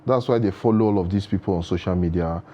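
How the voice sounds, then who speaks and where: A man with a low, mellow voice gives earnest advice full of proverbs, often restarting his sentences. The sound is dull and lo-fi, like a TV broadcast. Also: fast. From Nigeria. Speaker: guest. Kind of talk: studio interview.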